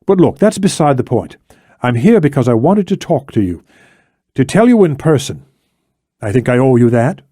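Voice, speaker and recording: A man's voice: medium pitch, big pitch swings, normal speed, dark tone, husky, no room sound, clean studio sound. A man voicing a determined, defensive woman intelligence operative in an audiobook, speaking insistently and emphatically. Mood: guarded. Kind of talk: audiobook character dialogue.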